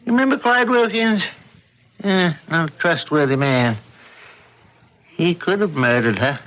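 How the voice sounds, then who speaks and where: An inquisitive man theorizes in a radio mystery. His voice is on the high side, heard through a dull vintage recording. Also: expressive, unhurried, a bit bright. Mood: speculative. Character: speculating, inquisitive man puzzling over a mystery. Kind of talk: radio mystery drama acting.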